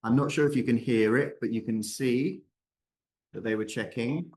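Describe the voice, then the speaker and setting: A man's voice: mid-range voice, moderate pace, a little mellow, poor audio. A man hosting an online class, handing over and narrating a video casually. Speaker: presenter and host.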